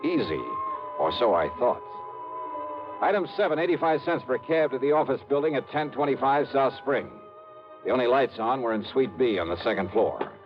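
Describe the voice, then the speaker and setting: An actor playing a hardboiled insurance investigator, narrating wryly in first person in a 1950s radio drama, on a dull old broadcast with music. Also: male voice, medium pitch, sing-song, moderate pace, lightly acted. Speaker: lead and narrator.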